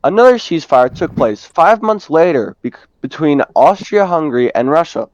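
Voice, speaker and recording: A man's voice: a little high, expressive, moderate pace, lo-fi sound, slight background noise. A young man presenting from a script in the manner of a quiz host. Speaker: student co-presenter and quizmaster.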